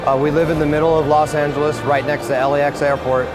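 A middle-aged man speaks plainly about his students in a documentary interview. His voice sits a little high, and a loud music bed runs underneath. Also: flat delivery, fast, poor audio.

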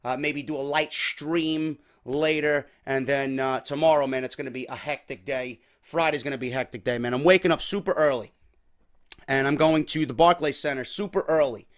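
A young man, his voice slightly worn, hosting a casual wrestling talk show from a small home room on a desk microphone, energetic and chatty. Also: mid-range voice, normal speed. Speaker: podcast host. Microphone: desk mic on boom arm.

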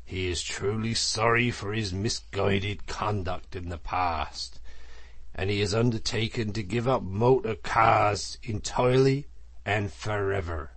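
A grave storybook badger, played by a man, low and gravelly. He moralizes with firm, solemn authority and takes his time over each phrase. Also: unhurried, fairly bright tone, no room sound. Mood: solemn, kindly. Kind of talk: storybook character voice.

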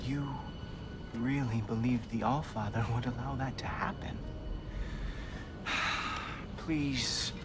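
An actor playing a condescending, cruelly taunting godly bully in a video game, with a theatrical, mocking, drawn-out delivery. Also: male voice, mid-range voice, steady tone, loud music behind the voice, close to an everyday voice. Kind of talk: video game cutscene acting.

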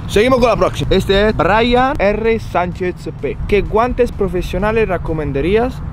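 Young Italian man, higher voice. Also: expressive, normal speed, background noise. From Italy. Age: 20s. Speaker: guest reading questions. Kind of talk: casual chat. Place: outdoor terrace by a lawn.

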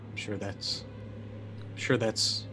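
A man in his thirties talking casually as a tabletop game player, asking questions and thinking out loud. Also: slow pace, over music. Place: indoor room.

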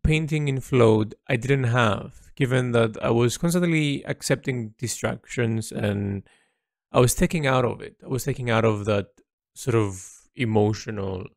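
A man speaking a reflective monologue as an artist's audio log, halting and introspective as he searches for words. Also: medium pitch, vocal fry, dry recording, clean studio sound.

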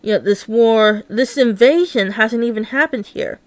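A woman performs a comic read-along, voicing all the parts and effects herself. Her voice is fairly high and her pace is slow and deliberate. The recording is close and dry. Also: no echo. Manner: acts out every character and effect herself. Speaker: narrator reading a comic aloud.

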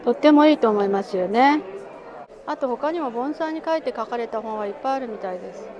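A woman's voice: fairly high pitch, animated, quick pace, darkish tone, muffled recording, a faint crowd. A woman giving casual commentary to viewers while she films her walk through a bonsai expo. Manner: chatty, talks to viewers while filming. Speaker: vlogger touring a bonsai expo. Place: bonsai pavilion and garden tents, partly outdoors. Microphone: handheld camera, speaker off screen.

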